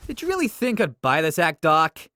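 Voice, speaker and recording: A man's voice: a little high, wildly swooping pitch, moderate pace, sharp tone, soft background music, exaggerated voice. A man voicing a confident young male hedgehog hero in a comic dub, with a breezy, teasing way of talking.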